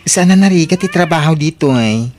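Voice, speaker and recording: A man playing a sassy, girlish, chatty friend in a radio drama, with exaggerated, drawn-out exclamations. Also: fairly high pitch, lively intonation, darkish tone, close to an everyday voice. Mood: playful, exclaiming. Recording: noisy radio drama recording.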